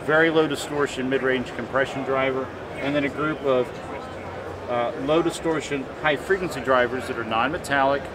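A man in his forties giving a measured, technical product demonstration as a company representative. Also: medium pitch, fairly even intonation, background noise. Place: busy indoor convention hall booth. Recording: loud trade show hall, distant mic. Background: loud exhibition hall ambience.

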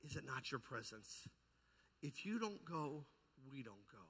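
A man's voice: medium pitch, big pitch swings, a little slow, fairly bright tone, husky. A man preaching a fervent, emphatic charismatic sermon as a pastor, repeating phrases and putting questions to his congregation. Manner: puts rhetorical questions to the congregation. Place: large indoor church hall. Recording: long hall reverb, amplified church sound.

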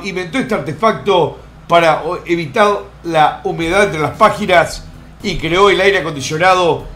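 A man's voice: on the high side, bright, forceful tone, slight background noise. A middle-aged man, hosting a live stream from home and rambling through a countdown with vulgar jokes and asides. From born in the United States. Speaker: streamer host. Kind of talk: crude comic countdown commentary. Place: home room in Miami.

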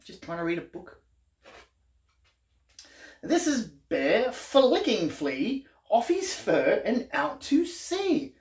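Animated man reading to kids. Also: high-pitched, takes it slowly, long pauses, reverberant. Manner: playful, exaggerated storyteller delivery with questions to the listener. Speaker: children's entertainer reading aloud. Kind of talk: animated picture-book read-aloud for young children. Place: small living room, indoors.

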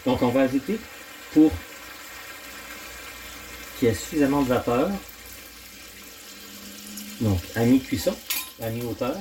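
A middle-aged man, hosting a casual cooking demonstration at home and thinking aloud with frequent pauses. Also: mid-range voice, warm tone, slight background noise, low-quality recording. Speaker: home cooking channel host. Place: home kitchen, indoors.

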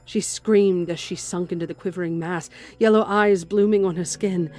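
A woman's voice: fairly low pitch, fairly even intonation, moderate pace, a little mellow, faint music behind, natural voice. A woman voicing a grim, zealous warrior nun who recounts a horror in solemn, intense dramatic narration. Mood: grim dread.

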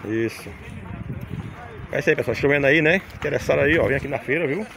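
A man's voice: fairly high pitch, quick pace, vocal fry, background noise. A man with a Northeastern Brazilian accent, chatting casually as a vlogger outdoors and peppering his commentary with tag questions. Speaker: vlogger touring a livestock fair. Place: outdoors at a livestock fair. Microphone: handheld phone behind the camera. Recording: busy outdoor crowd behind voice.

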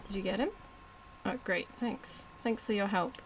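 A woman's voice: medium pitch, normal speed, background noise. A woman giving casual, informal let's-play commentary, muttering to herself and to the game as she plays. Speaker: gaming video host.

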